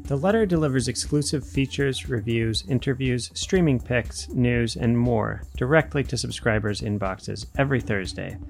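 A man with a creaky, average-pitched voice reads a scripted promotional announcement. Soft music plays underneath him. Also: moderate pace, few pauses.

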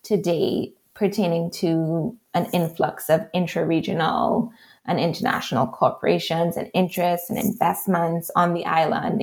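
A woman with a Trinidadian accent, an author answering interview questions in a thoughtful, measured way. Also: lowish voice, gravelly, darkish tone. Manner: thoughtful, measured, frequent "right?" and "um". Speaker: author and researcher, interview guest.

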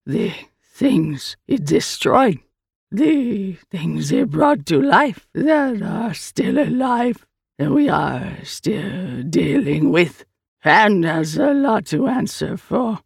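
A woman voicing a stern, guarded creature in an audiobook story, with drawn-out, deliberate speech. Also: mid-range voice, very animated, creaky, slightly rough, no echo, clean studio sound, heavily acted. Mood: grave, accusing. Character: the Eye, floating many-armed creature, slow, stern, guarded.

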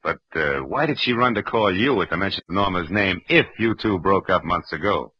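A man's voice: low-pitched, animated, a little fast, slightly rough. A man playing a wry, hard-boiled private detective with an American accent in 1940s radio style, clipped and sardonic. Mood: sardonic, probing. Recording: dull vintage radio recording.

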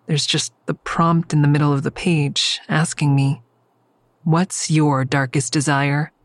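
Very low-voiced female narrator. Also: level tone, unhurried, no room sound. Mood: wry, uneasy. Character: observant, wry, secretive woman narrating her own story. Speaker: narrator and lead character. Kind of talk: first-person audio drama narration.

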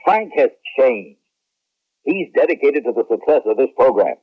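An actor playing an authoritative, diplomatic but firm general in a late-1970s radio drama. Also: male voice, medium pitch, sing-song, moderate pace, husky. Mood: placating, firm. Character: measured, authoritative male space-program general, diplomatic and firm. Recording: muffled, lo-fi vintage radio recording.

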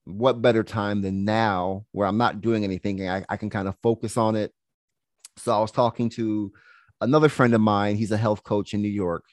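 A young man, a health coach, chatting casually in an interview, relaxed and laughing easily, with plenty of "you know"s. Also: on the low side, moderate pace, no room sound. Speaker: health coach and podcast guest.

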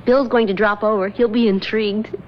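A woman's voice: medium pitch, steady tone, brisk, close to an everyday voice. An actress playing a skeptical young woman roommate with dry, teasing quips in a 1970s radio drama. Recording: muffled old radio broadcast with hiss.

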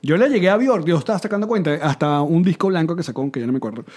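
A man's voice: fairly high pitch, lively intonation, very fast, darkish tone. A man, co-hosting a casual podcast chat, joking and laughing often. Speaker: podcast co-host.

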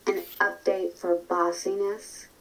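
An actress playing a brisk, bossy robot caretaker nurse in an audio drama, patient and matter-of-fact. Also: female voice, lowish voice, little pitch movement, gravelly, poor audio.

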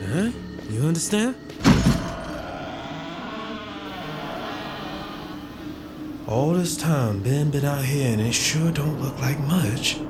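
A protective father in an audio drama. His pitch jumps around as he stammers in plain colloquial speech, full of feeling, with music underneath. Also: expressive, unhurried. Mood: anxious tenderness. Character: excitable, protective young father in a fantasy drama.

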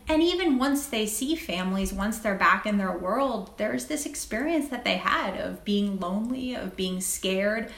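A woman speaking as a physician guest in an interview, thoughtful and reflective, often halting and repeating phrases as she finds her words. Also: medium pitch, a bit bright. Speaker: guest, critical care physician. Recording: echoey, roomy sound.

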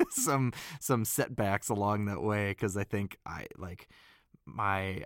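A man, a voice actor, telling a story in casual chat, halting and rambling with frequent laughs. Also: fairly low pitch, no echo, clean studio sound.

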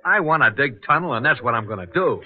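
A man's voice: medium pitch, animated, quick pace, natural voice. An actor playing an earnest, stubborn young man, a laborer's son, in a 1940s radio drama. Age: young adult. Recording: tinny 1940s radio broadcast.

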